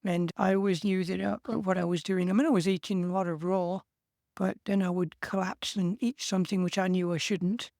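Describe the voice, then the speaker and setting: A man from England with an English accent, co-hosting a casual chat and giving steady, earnest encouragement. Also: high voice, normal speed, dry recording, clean studio sound. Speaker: co-host.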